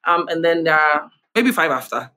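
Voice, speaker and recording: A young Ghanaian woman with a Ghanaian accent, chatting as a guest over a remote call, lively with frequent laughs. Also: low voice, normal speed. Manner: lively, laughs often, many fillers. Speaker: guest, TV host. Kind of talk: casual interview chat. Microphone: video call.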